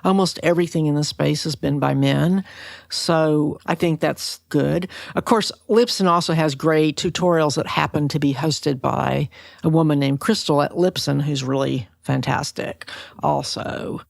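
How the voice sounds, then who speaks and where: Close, dry sound carries an older woman's deep voice with a gravelly creak. She hosts an interview casually, giving her views in a plainspoken way. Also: steady tone, a little slow, no echo. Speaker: podcast host, interviewer.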